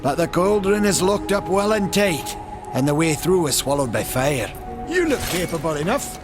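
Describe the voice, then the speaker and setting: An actor playing a good-natured young giant blacksmith in a video game, folksy and earnest. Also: male voice, a little high, very animated, moderate pace. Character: eager good-natured young Maker smith, brawny giant. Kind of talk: video game character acting. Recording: game music behind.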